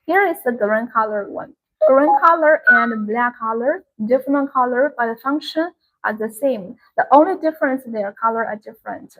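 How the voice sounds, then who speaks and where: A woman's voice: on the high side, normal speed, fairly bright tone, poor audio. A woman giving a live-stream sales demonstration in an instructional, repetitive way. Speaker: live-stream product seller.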